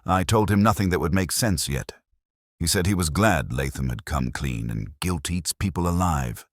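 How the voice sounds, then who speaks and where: A man plays a weary, cynical police inspector telling his own story in a detective audio drama. The voice is extremely low and swings widely in pitch as he broods over each phrase with measured care. The sound is dry and close, with hardly any room to it. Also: animated, no room sound. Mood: quietly troubled. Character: weary, cynical male English police inspector, reflective and literary. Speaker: narrator. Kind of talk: scripted first-person detective drama narration.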